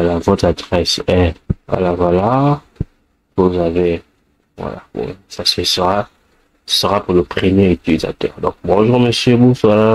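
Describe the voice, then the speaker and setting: Slow, deep-voiced man with the flu. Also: a little mellow, no echo, low-quality recording. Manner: hesitant, many fillers, thinks aloud while typing. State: has the flu. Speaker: programming tutor. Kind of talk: screencast coding lesson.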